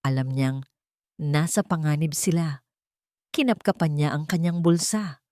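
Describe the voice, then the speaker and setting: A woman's voice: deep voice, unhurried, darkish tone, no room sound, studio quality. A woman reading a scripted dramatic audio story as its first-person narrator.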